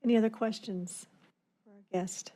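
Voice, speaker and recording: A woman's voice: on the high side, steady tone, moderate pace, warm tone. A woman chairing a formal public meeting in a courteous, procedural manner. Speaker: committee chair.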